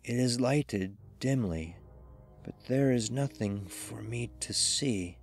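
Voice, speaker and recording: A man voicing a dreary, despairing dead man who speaks slowly and haltingly in a horror audio story. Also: medium pitch, vocal fry, soft background music. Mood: dreary, hopeless. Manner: slow, halting, hopeless delivery. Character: dead man entranced, dreary, despairing, hearing terror approach.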